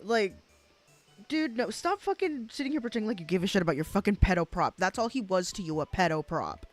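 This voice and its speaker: A woman's voice: mid-range voice, animated, a little fast, soft background music. A woman giving casual live reaction commentary as a streamer, blunt, profane and mocking. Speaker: streamer reacting to a recorded interrogation.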